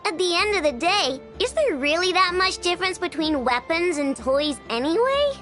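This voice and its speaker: A woman's voice: extremely high pitch, sing-song, normal speed, fairly bright tone, soft background music, full character voice. A woman voicing a small, bossy girl inventor in an anime-style game, blunt and self-important but kind underneath. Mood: blunt, knowing.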